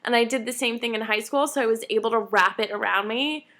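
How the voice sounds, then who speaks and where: A woman's voice: on the high side, fast talker, sharp tone. A woman in her late twenties, a tired vlogger chatting casually and self-deprecatingly to camera. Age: 20s.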